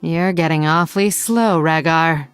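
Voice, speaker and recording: Video game blood elf rogue, female: lowish voice, proud, sardonic, cutting. Music underneath. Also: unhurried, clear, resonant tone. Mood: scornful, menacing. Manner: haughty, cutting, dry teasing. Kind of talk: video game hero voice lines.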